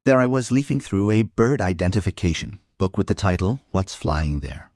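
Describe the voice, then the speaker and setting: A man's voice: sits low, animated, moderate pace, a little mellow, no room sound, studio quality. A man playing a middle-aged homicide inspector who narrates a crime audio drama in a wry, musing, literary manner. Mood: wry, smitten.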